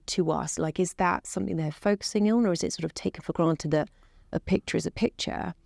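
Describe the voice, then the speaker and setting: Woman interviewer w a gravelly lowish voice, builds questions slowly in bits, dry sound. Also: a little mellow, no room sound. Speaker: interviewer, military officer.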